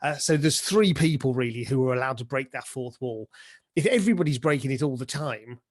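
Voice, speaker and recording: A man's voice: mid-range voice, brisk, no echo. A man chatting and explaining as an interview guest, with a jokey, talkative manner. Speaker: interview guest, pantomime dame and director. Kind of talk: casual explanatory interview talk.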